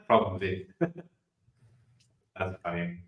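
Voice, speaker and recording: A man with a South African accent hosting a casual podcast chat, relaxed and chatty with lots of fillers. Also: low-pitched, extremely slow, roomy sound, dull audio. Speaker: podcast host.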